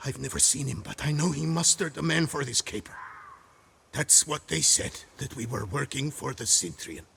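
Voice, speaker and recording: A man's voice: mid-range voice, moderate pace, creaky, fairly bright tone, a little hiss or hum. An actor playing a frightened captured bandit in a video game, crude and stammering as he protests. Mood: cowed confessing. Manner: panicked stammering, crude taunting. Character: terrified captured bandit, defiant then cowering and pleading. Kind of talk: dramatic video game acting.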